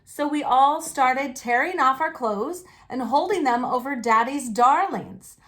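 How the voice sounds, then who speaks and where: Woman, fairly high voice, animated folksy read-aloud of a picture book for children. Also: unhurried.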